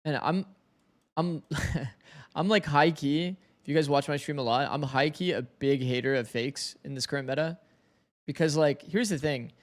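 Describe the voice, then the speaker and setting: A man streaming an esports match, breaking down plays in casual chat with his viewers. His voice is slightly raspy from heavy streaming, and he talks on, thinking aloud. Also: on the high side, moderate pace.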